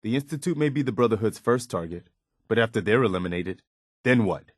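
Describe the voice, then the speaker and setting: A man's voice: fairly low pitch, expressive, brisk, no echo, clean studio sound. A man playing an earnest, idealistic and loyal militia soldier in a video game, speaking plainly and conversationally. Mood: earnest, thoughtful. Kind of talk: video game companion dialogue, naturalistic acting.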